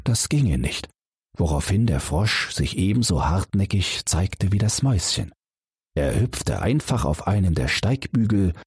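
Husky, low-voiced male storyteller. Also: no echo, studio quality. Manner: calm, expressive storytelling. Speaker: audiobook narrator. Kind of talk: scripted fairy-tale reading.

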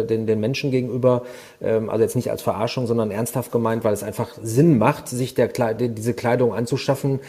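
Warm-voiced enthusiastic male co-host. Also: mid-range voice. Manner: enthusiastic, rambling with many fillers. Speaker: podcast co-host and company founder. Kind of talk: casual podcast chat.

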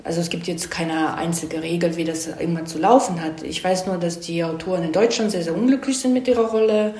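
A woman's voice: fairly low pitch, a little fast, dark tone. A woman speaking as an interviewed screenwriter, explaining things casually and often checking for agreement with little tags. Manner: conversational, frequent "ne?" tags seeking agreement. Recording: echoey room.

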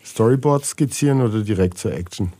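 A man's voice: fairly low pitch, lively intonation, brisk, warm tone. A man hosting a podcast interview, putting short either-or questions concisely and listening attentively.

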